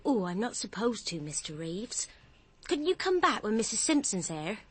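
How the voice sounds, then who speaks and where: An actress playing a nervous, gullible English office clerk with a flustered, colloquial manner in a radio drama. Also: female voice, medium pitch, sing-song, normal speed, husky. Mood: flustered, anxious.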